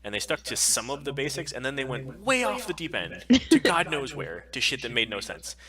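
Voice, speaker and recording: A man's voice: medium pitch, big pitch swings, fairly quick, crowd noise. A man co-hosting a podcast live stream, chatting casually and bluntly with opinions and plenty of swearing.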